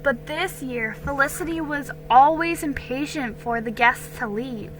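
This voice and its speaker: A woman's voice: high-pitched, unhurried, a bit bright, faint music behind, poor audio. A woman reading a children's storybook aloud as a dramatic narration. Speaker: story reader.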